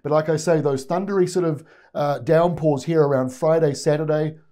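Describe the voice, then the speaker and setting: A man's voice: a little high, steady tone, normal speed, dark tone. A man in his forties with a New Zealand accent, giving a conversational weather forecast in a studio on a lapel mic. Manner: conversational, pointing at maps while explaining. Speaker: weather presenter. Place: studio in front of weather maps.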